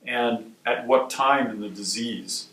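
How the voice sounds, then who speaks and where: A man from Wisconsin who directs a research institute, giving measured, explanatory interview answers. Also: mid-range voice, a bit bright. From Wisconsin, USA. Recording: roomy sound from a distant mic.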